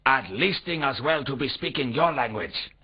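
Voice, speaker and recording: Eager, very raspy garbled-speaking foreigner. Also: male voice, mid-range voice, lively intonation, normal speed. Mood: earnest, eager. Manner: garbled, stilted word order, pompous long words. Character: eager foreign male archaeology worker with mangled grammar. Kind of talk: comic video game dialogue. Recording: dull, lo-fi game audio.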